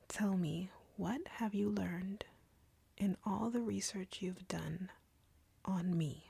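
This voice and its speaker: A woman playing an arrogant, cruel, flirty female vampire captor in an audio roleplay, taunting in an intimate, breathy, husky whisper. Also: on the low side, darkish tone, dry recording, heavily acted. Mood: teasing, sinister. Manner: taunting, slow, deliberate, drawling.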